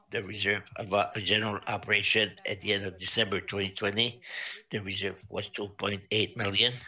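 Bright-toned man reading financial figures. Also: on the low side. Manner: halting, with many pauses and fillers. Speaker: auditor presenting financial statements. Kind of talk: reading figures from slides to a council. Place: municipal council meeting over video link.

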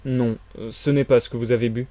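Man acting an invisible man, audiobook character, commanding, terse, insistent, menacing, medium pitch, dry sound. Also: steady tone, brisk, warm tone, dry recording, lightly acted. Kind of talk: dramatized audiobook reading.

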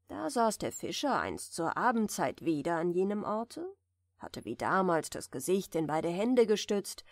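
A woman reading a fairy tale aloud as a bedtime audiobook, softly and soothingly, in a deliberately gentle manner. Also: medium pitch, creaky, no echo, studio quality. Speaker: audiobook reader.

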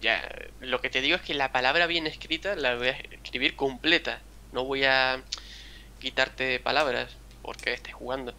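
Young male host, mild Andalusian accent, bright tone, rambling casual chat on a call. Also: medium pitch, steady tone, normal speed, vocal fry. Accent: mild Andalusian Spanish. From Spain, a town near Seville. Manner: rambling, chatty, acts out quotes. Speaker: gaming channel host. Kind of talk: casual chat over a video game.

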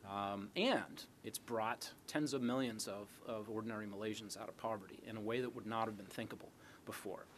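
A man's voice: lowish voice, a little fast, a bit bright. A middle-aged man answering audience questions as an academic speaker in a lecture hall, explaining through rhetorical questions. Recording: noisy lecture hall recording.

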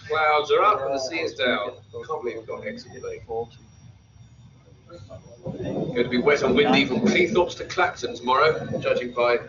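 An actor playing a rattled, wry RAF pilot with a British accent in a Cold War audio drama, talking in exclamations and radio procedure. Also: male voice, mid-range voice, moderate pace, pauses often, reverberant, background noise, natural voice. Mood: wry, cheerful. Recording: dull, distant playback over room speakers with music.

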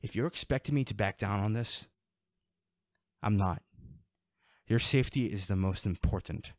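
An actor playing a protective, worried male bodyguard in an intimate, dramatic audio roleplay, with halting, emotional delivery. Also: fairly low pitch, a little mellow, no echo, natural voice. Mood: stern, restrained.

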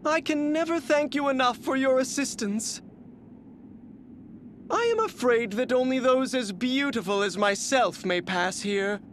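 A flamboyant, beauty-obsessed video game warrior, speaking in a strikingly high, effeminate voice. He takes his time over every flowery phrase, refined and theatrical. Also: a bit bright, slight background noise, exaggerated voice. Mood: polite, disdainful.

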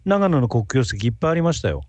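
A man's voice: mid-range voice, big pitch swings, fast talker, darkish tone, no room sound. A man who is a guest researcher, giving explanatory answers in a radio interview.

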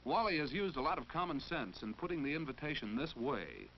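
A male narrator from a 1940s instructional film, didactic and full of instructive questions. His pitch swings expressively through a muffled soundtrack with faint noise. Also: big pitch swings, brisk.

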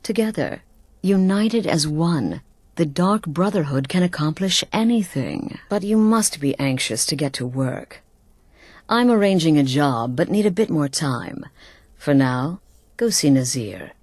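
Low, silky assassin guild leader. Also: female voice, lively intonation, a little slow, darkish tone, dry recording. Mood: welcoming, knowing. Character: poised, seductive woman leader of an assassins' guild. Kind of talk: video game voice acting.

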